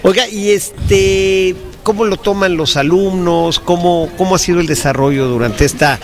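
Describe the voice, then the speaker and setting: A Mexican man with a notably high voice hosts a live sports show. He interviews guests courteously and chats in a conversational way. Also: normal speed. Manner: polite, conversational.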